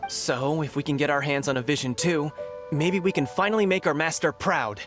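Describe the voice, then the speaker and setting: An actor playing an eager, frank young martial arts student in a video game, speaking in an earnest, emphatic way. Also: male voice, a little high, normal speed, over music, close to an everyday voice. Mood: hopeful.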